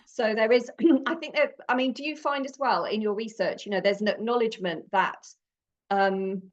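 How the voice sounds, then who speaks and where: A woman's voice: on the high side, normal speed, dull audio. A woman in her late fifties, hosting a podcast and talking casually, chatty and full of fillers, laughing often. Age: 50s. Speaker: podcast host.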